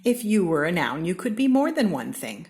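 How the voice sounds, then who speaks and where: American woman, storybook reader, lively pitch, gravelly edge. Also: slight background noise. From Seattle, Washington. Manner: slow, expressive read-aloud with lively pitch swings. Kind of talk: reading a children's picture book aloud.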